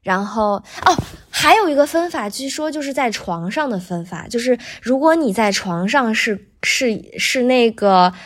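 A woman with a thin, bright, lively voice, talking casually as a voice actor guest on a podcast, explaining things in an animated way. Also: a little high, normal speed, few pauses.

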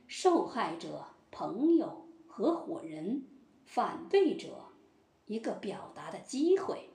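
A woman playing a solemn judge in a mock-trial audio drama, speaking formally and deliberately. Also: mid-range voice, dark tone. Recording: roomy echo.

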